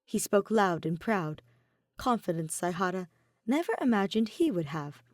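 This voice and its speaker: A woman's voice: mid-range voice, animated, moderate pace, no echo, studio quality. A woman reading a scripted piece of fan fiction as its narrator. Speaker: podfic narrator.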